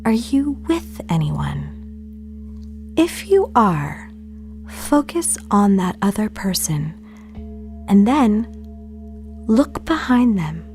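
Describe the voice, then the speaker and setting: A woman playing an apologetic podcast host who guides a meditation in a hesitant, probing, soft-spoken way. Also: medium pitch, big pitch swings, slow pace, long pauses, soft background music. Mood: gentle, hesitant. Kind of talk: intimate guided meditation acting.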